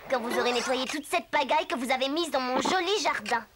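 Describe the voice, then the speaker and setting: A woman's voice: high-pitched, brisk, noisy background, natural voice. An actress voicing a bossy, indignant female garden-keeper creature in a French cartoon, scolding and emphatic. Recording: loud music behind.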